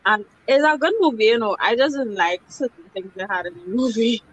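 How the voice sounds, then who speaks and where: A woman's voice: fairly high pitch, fairly quick, a little hiss or hum, poor audio. A woman, a podcast guest, chatting casually with blunt remarks and laughing asides. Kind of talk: casual chat.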